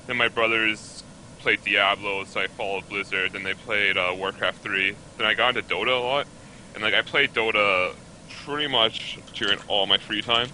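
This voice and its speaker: Laid-back young man, bright voice. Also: on the low side, fairly even intonation, moderate pace, a little hiss or hum, rough recording. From Canada. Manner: laid-back, many "like" fillers. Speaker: guest esports player. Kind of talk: casual interview chat.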